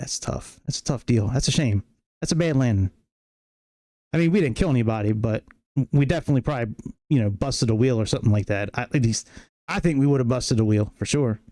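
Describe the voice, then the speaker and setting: A man's voice: medium pitch, dark tone, no room sound. A man giving casual, grumbling, self-deprecating livestream commentary on a flight sim game, swearing freely.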